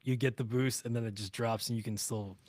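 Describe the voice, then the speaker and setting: A man's voice: mid-range voice, fairly even intonation, fairly quick, darkish tone, dry recording. Rambling man in a dry studio. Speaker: talk show co-host. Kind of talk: casual studio chat.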